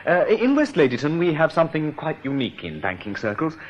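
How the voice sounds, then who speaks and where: A man's voice: on the high side, huge pitch swings, brisk. An actor playing a bumbling, jovial English second-in-command at a bank in a radio play, blunt and musing, yet deferential to his boss. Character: bumbling, tactless, jovial male deputy executive at a bank. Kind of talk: British radio play acting. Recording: dull, hissy old radio recording.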